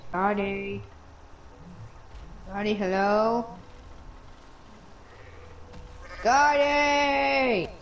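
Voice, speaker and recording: A woman voicing a goat who calls himself a lightning god, with a slow, drawn-out delivery that drops quiet at times, in an amateur game machinima. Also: mid-range voice, slight background noise, poor audio. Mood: worried. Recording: game sounds behind the voice.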